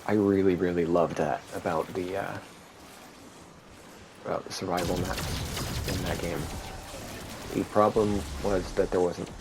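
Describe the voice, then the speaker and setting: A man giving rambling, monotone commentary while playing a video game, with many fillers. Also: sits low, a little slow, pauses often. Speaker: gaming video creator. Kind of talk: casual gameplay commentary. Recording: steady background noise.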